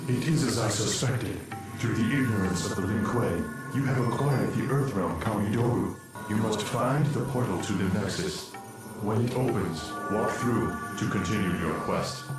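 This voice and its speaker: A man playing a grave, commanding disembodied spirit guide in a fantasy video game, speaking as a formal, solemn mentor with a ghostly echo. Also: lowish voice. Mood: stern. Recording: echoing, distant voice under loud game music. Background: loud music.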